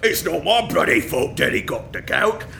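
A crude peasant husband, griping at his wife in a high, gravelly voice that swings wildly up and down, as a man acts him out. The tone is bright and edgy, with faint ambience behind. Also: big pitch swings, moderate pace, a little hiss or hum, full character voice. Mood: bickering.

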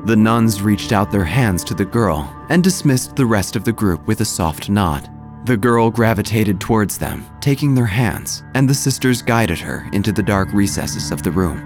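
A man's voice: on the low side, expressive, moderate pace, soft background music. A man narrating scripted comic horror in a wry, theatrical manner.

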